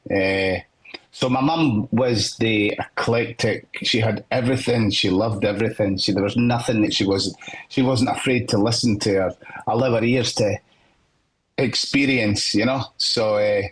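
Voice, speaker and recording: A Scottish man with a husky, breathy voice speaks as a podcast guest. His voice sits a little low, and he tells his story in an earnest, reflective manner. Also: steady tone, unhurried, low-quality recording. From Scotland. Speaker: guest singer-songwriter. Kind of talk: podcast interview.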